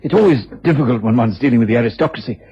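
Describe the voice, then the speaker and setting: An actor playing a cautious, deferential, hesitant police inspector in a radio drama, with a British accent. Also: male voice, mid-range voice, lively intonation, racing, husky. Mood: uneasy, reporting. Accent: British English. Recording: muffled, hazy old radio drama recording.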